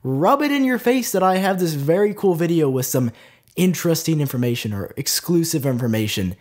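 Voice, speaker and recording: A man's voice: on the high side, fairly quick. A young man with a stuffy nose from a cold, hosting a casual tech news vlog in a chatty way with plenty of fillers. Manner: chatty, lively, lots of "like" fillers. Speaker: tech video host.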